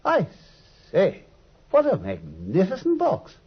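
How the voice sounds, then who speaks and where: A man's voice: sits high, wildly swooping pitch, exaggerated voice. An actor playing an earnest, loyal male doctor in a vintage radio drama, speaking eagerly and also narrating. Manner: eager, earnest, quick to exclaim. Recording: dull, husky old radio recording.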